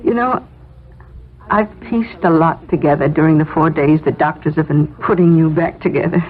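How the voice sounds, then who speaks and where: An American woman acting a devoted, worried, no-nonsense wife in an emotive 1970s radio drama style, tender yet brisk. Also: deep voice, close to an everyday voice. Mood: tender, firm. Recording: tinny radio broadcast with music.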